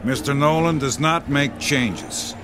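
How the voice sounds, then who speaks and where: An actor playing a gruff, unbending fight-arena handler in a radio drama, talking blunt tough-guy slang. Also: medium pitch, a little slow, noisy background, lightly acted. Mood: curt.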